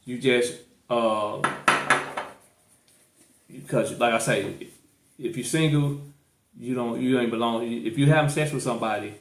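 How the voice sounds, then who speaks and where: A man's voice: medium pitch, a little slow, pauses often. A man with a St. Louis accent hosts a casual podcast debate, hammering at his point and circling back over the same words. His voice sits in the middle of the male range. The room around him sounds echoey. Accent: St. Louis, Missouri. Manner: insistent, repeats himself. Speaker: podcast host.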